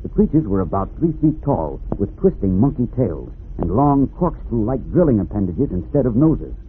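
A man's voice: on the low side, very animated, moderate pace. An American man narrating a 1940s radio serial in a dramatic, declamatory announcer's manner. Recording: vintage radio, narrow band, faint noise.

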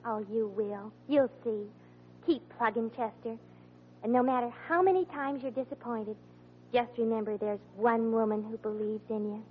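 An actress playing a sweet, loyal young woman with a gentle, pleading manner in an old-time radio comedy. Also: on the high side, normal speed, lightly acted. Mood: tender, supportive. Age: young adult. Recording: muffled old radio recording with noise.

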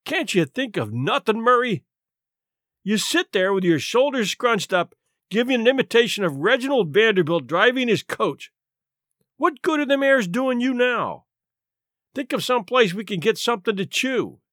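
A man's voice: very high-pitched, sing-song, normal speed, fairly bright tone, no echo, studio quality, pitched above the performer's own voice, full character voice. A man voicing a huge, down-and-out former police captain in an audiobook story, growling and grumbling in coarse streetwise speech. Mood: ravenous, indignant.

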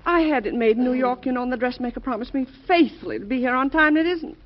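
An actress playing a devoted, gently teasing American president's wife in an old-time radio drama, in a genteel, expressive way. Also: female voice, high voice, fast talker, lightly acted. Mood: fretful. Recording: dull, noisy old radio recording.

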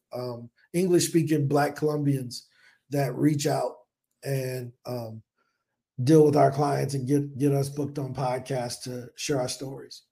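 A man in his forties from the United States, a business owner telling stories on a podcast in an energetic, casual way, acting out his own past thoughts. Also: medium pitch, vocal fry. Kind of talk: casual interview talk. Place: home in Colombia.

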